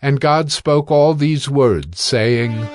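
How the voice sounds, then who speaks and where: Male narrator, medium pitch, slow, solemn, deliberate scripted scripture reading, faint ambience. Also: lively intonation, no room sound, clean studio sound.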